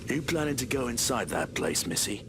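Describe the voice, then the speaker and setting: A man's voice: medium pitch, very animated, normal speed, creaky, a bit bright. A man playing a grave, superstitious taxi driver in a visual novel, talking in a folksy, cautionary way. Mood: curious, probing.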